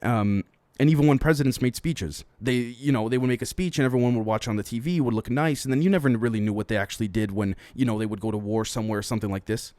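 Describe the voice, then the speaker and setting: A man's voice: mid-range voice, quick pace, a little mellow, no room sound. A man hosting a solo podcast, running through news items with opinionated, speculative commentary and often speaking directly to his listeners. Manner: frequently addresses listeners directly. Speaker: podcast host.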